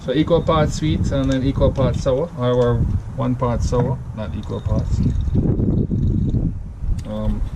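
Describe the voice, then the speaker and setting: A man with a Jamaican accent walks through a homemade recipe in a loose, rambling way, often stopping to correct himself. His voice sits at an ordinary middle pitch. A steady wash of background noise sits under the home recording. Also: slow pace. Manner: rambling, self-correcting, easygoing. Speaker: home cocktail vlogger. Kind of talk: casual step-by-step recipe demo.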